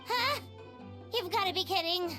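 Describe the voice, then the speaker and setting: Childlike floating sidekick girl for a game; squeaky-high, cute, chatty, with music behind. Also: measured pace. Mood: surprised, doubtful. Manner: cutesy, emphatic, refers to self by name. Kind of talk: animated game cutscene acting.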